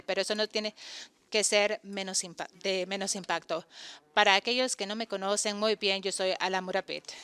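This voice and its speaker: A woman giving a voice-over interpretation of a conference talk, halting often to correct and restart her phrases. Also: medium pitch, fairly bright tone, clear, resonant tone.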